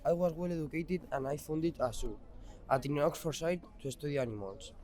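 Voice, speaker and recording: A man's voice: a little high, fairly quick, darkish tone. A young man reciting a scripted history monologue in the first person as a student presenter.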